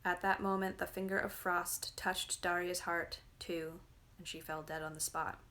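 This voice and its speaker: A woman's voice: fairly low pitch, little pitch movement, measured pace, sharp tone. A woman narrating a fairy tale from a book as a dramatic, scripted reading. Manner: dramatic storytelling. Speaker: reader, narrator.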